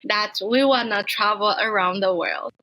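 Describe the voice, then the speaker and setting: A woman from Taiwan, a guest in a casual interview, talking in an earnest, reflective way and sometimes pausing to find her words. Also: medium pitch, sharp tone, poor audio.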